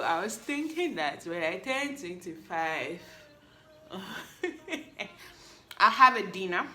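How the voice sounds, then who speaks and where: A woman's voice: mid-range voice, bright, forceful tone. A woman, hosting a solo podcast recap in a playful, chatty way that teases the listener. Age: 20s. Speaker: podcast host. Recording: echoey room.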